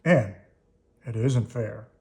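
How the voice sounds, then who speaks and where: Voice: medium pitch, takes it slowly, warm tone, pitched below the performer's own voice. A storybook reader voicing a stern but fair father bear, lecturing in a firm, emphatic way.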